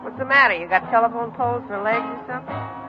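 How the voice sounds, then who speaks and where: A woman's voice: on the high side, fast, lightly acted. An actress playing a world-weary, tough American dance-hall woman in her thirties, blunt and wisecracking, in an old-time radio crime drama. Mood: brassy, businesslike. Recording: dull vintage radio recording, music behind.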